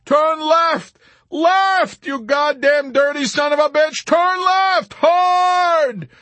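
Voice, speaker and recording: A man's voice: very high voice, slow, sharp tone, dry recording, voice pushed up, full character voice. A man voicing a hysterical, terrified airman in an audiobook, shrieking and beseeching in frantic frustration. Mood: screaming panic. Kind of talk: audiobook character acting.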